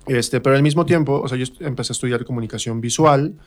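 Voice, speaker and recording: A man with a central Mexican accent speaks at a middle pitch in a casual interview. He tells stories about himself conversationally, slipping into little re-enactments of what people said. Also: fast. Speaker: interview guest, stage actor. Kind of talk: casual personal storytelling in a radio interview.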